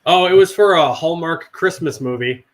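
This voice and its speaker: Raspy-voiced man with a cold. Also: on the high side, moderate pace. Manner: rambling, swears casually. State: hoarse from a cold. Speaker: veteran guest and actor. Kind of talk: casual chat.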